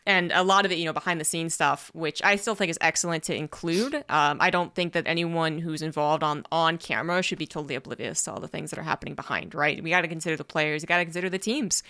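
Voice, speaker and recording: A man hosting a podcast with a soft, light voice, who laughs often and agrees a lot. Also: fairly high pitch, steady tone, fast talker, hardly any pauses, smooth, no room sound, clean studio sound. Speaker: podcast host, esports caster. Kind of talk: casual interview chat.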